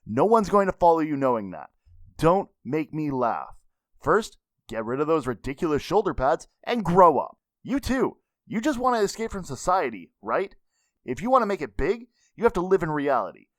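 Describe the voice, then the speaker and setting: A man's voice: on the high side, big pitch swings, normal speed, dry recording, clean studio sound, pitched above the performer's own voice. A man voicing a cocky anime mentor who lectures bluntly and mockingly. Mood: scolding. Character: cocky, scolding adult anime mentor, a self-declared commoner.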